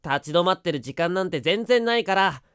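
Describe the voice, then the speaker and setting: A performer playing an energetic, teasing teenage schoolboy in an anime-style voice drama, with boyish, slangy speech. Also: medium pitch, brisk, very noisy, natural voice. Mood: eager, boastful. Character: energetic, upbeat teenage schoolboy, passionate dancer.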